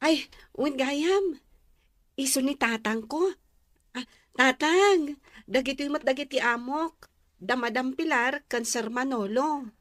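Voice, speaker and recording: A woman's voice: high voice, slow pace, pauses often, a bit bright, no room sound, clean studio sound. A woman playing a polite, eager young student from the countryside in a radio drama. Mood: humble, polite. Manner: deferential, eager.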